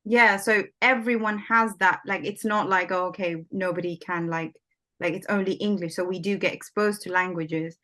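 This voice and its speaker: Swahili-speaking woman, low-pitched and chatty. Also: fairly even intonation, moderate pace, bright, forceful tone. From Somalia. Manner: chatty, many fillers like "like" and "um". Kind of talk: casual conversation.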